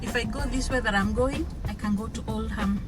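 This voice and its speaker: A woman's voice: on the high side, a little fast. A woman from Africa whose first language is not English, chatting casually to her viewers while driving, often laughing and repeating words for emphasis. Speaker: vlogger. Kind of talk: casual driving vlog chat. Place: inside a car. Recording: loud music underneath.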